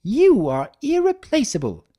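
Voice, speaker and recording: A woman's voice: fairly high pitch, wildly swooping pitch, unhurried, mellow, pitched above the performer's own voice, heavily acted. A woman voicing a prim, theatrical female unicorn seamstress in a dramatic story reading, speaking in a refined, emphatic manner. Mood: gushing.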